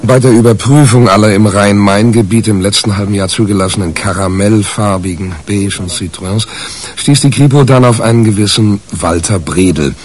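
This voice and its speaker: An actor plays a male journalist narrating an eighties radio crime drama. His voice sits fairly low. He recounts the case colloquially, with a wry, storyteller's touch. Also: moderate pace, a little mellow, lightly acted. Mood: matter-of-fact.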